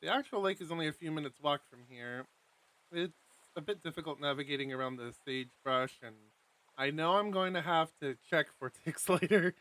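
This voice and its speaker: Bright-voiced man hosting a game stream. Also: fairly high pitch, fairly quick, rough recording. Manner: casual, self-deprecating, rambling asides. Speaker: streamer host. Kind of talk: live let's play, reading game text aloud.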